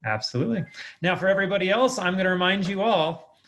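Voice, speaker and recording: A man's voice: high-pitched, lively intonation, fast, fairly bright tone. A man hosting a podcast interview in an affirming, effusive, conversational way.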